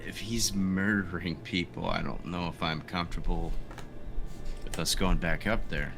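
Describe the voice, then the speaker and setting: Deep-voiced man at a game table. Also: steady tone, normal speed, vocal fry. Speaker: player. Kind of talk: casual tabletop game chat. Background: music.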